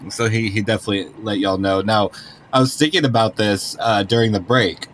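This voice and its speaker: A man chats and role-plays casually at a tabletop game. He is informal and playful, with a slightly low voice over a faintly noisy background. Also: a little fast. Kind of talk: casual chat and role-play.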